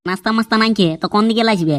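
A man voicing a hurried village matchmaker who grumbles and coaxes, in a rustic cartoon comedy with a Purulia Bengali accent. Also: sits high, heavy background noise, close to an everyday voice. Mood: brisk, coaxing. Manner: grumbling, coaxing.

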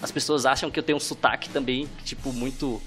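A Brazilian man with a Northeastern accent from Ceará, a guest illustrator chatting casually on a podcast, self-deprecating and quick to laugh, restarting his sentences often. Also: medium pitch, steady tone, a bit bright, faint music behind. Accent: Brazilian Portuguese, Northeastern-influenced from Ceará. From Brazil, born in Fortaleza, raised in Brasília.

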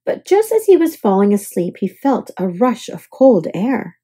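A woman's voice: a little high, big pitch swings, a little slow, mellow, a little hiss or hum. A woman storyteller narrating a children's picture book aloud.

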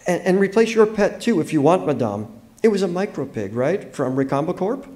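A man voicing an awkward, anxious young girl in an audiobook, pleading in an earnest, halting way. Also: high voice, very animated, a little mellow, voice pushed up. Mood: earnest, apologetic.

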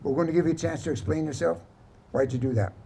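A cowboy trail boss acted by a man in a Western story. He is stern and blunt, laying out accusations in a husky voice. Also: on the high side, fast talker, warm tone. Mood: stern, accusing.

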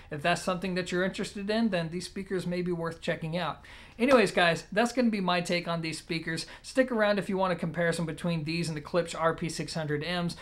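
A man in his thirties with a slightly high-pitched voice reviews gear in a casual style. He speaks straight to his viewers, conversational and plain, like a regular host of his own channel. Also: a bit bright. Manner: conversational, addresses viewers directly. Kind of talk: casual product review to camera. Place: indoor room at home, seated.

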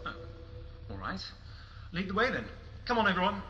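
A man playing a conscientious, quick-witted time-travelling adventurer in a science-fiction audio drama, with a wry manner. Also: high-pitched, sing-song, dull audio, background noise. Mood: resigned, brisk. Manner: wry, urgent.

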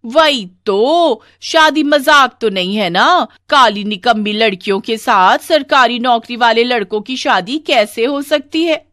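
A woman's voice: on the high side, unhurried, hardly any pauses, a bit bright, no room sound, studio quality, voice pushed up. A woman voicing a spiteful, scheming older aunt for a cartoon story, taunting in a theatrical, gloating way.

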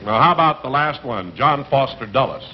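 A man's voice: medium pitch, lively intonation, moderate pace, natural voice. An older man playing a plain-spoken, friendly helper in a scripted 1950s comedy. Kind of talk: 1950s scripted radio-style comedy acting. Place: theater stage. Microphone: stand-mounted vintage broadcast microphone. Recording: muffled, noisy 1950s broadcast recording.

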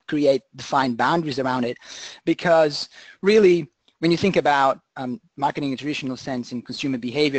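A man from Portugal gives an informal webinar lecture, haltingly repeating words as he explains. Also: medium pitch, no echo. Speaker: guest presenter, conservation marketing researcher.

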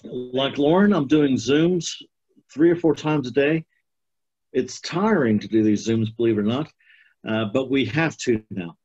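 A man's voice: medium pitch, measured pace, darkish tone, husky. A middle-aged man, a music program instructor, talks plainly and steadily on a video call. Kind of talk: casual video-call chat. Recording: slightly dull video-call audio.

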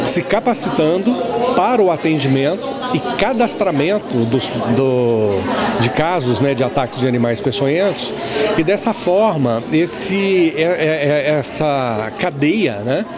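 A middle-aged man speaking into a reporter's handheld mic, pausing and searching for words. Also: on the high side. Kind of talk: news interview.

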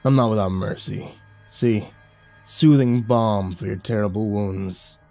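A cruel, brutish man sneers low and quiet, as a narrator puts him on. His crude taunts sound soft and menacing. The reading is dry and close, with faint music behind. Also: measured pace, no room sound. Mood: sneering.

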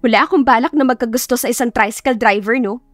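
Blunt, scolding young female teacher read by a woman, raised pitch, defensive romance heroine for audiobook. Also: fast talker, a bit bright, dry recording, soft background music, natural voice. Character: prickly, easily annoyed young woman teacher.

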